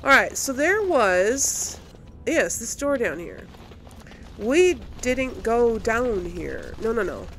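A woman's voice: a little high, sing-song, slow pace, a bit bright, slight background noise. A woman giving casual gameplay commentary, thinking aloud and often questioning herself as she goes. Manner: musing, self-questioning. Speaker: let's play host.

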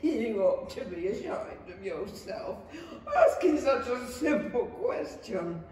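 A woman's voice: on the high side, animated, takes it slowly, background noise. Woman playing a folksy, chuckling griffin, very echoey. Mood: gruff teasing. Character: jolly, uneducated, scoffing Griffin, half lion half eagle. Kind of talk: 1930s-style stage radio play acting. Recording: very echoey hall, distant mic.

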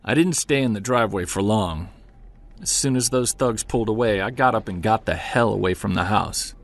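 An actor playing a weary, stubborn investigator in an audio drama, earnest and confiding. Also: male voice, lowish voice, moderate pace, vocal fry. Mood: rattled, indignant. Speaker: lead actor and narrator. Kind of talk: naturalistic audio drama acting with first-person narration.

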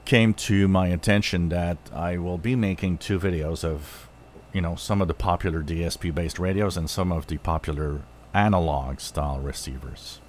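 A man hosting a hobby review, explaining things unscripted in halting short phrases, as if thinking aloud. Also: sits low, a little hiss or hum. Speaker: channel host reviewing radios.

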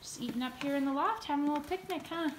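American woman, 30s, vlogging mother, casual chat, higher pitch, very noisy handheld phone sound. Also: racing. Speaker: mother filming family vlog. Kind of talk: casual home vlog chat. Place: inside a family home.

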